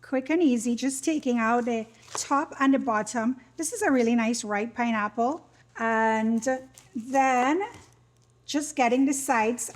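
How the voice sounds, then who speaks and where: A woman from Trinidad and Tobago with a Trinidadian accent, giving an informal, conversational cooking tutorial and explaining each step. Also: high voice. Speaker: cooking demonstrator, small catering business owner.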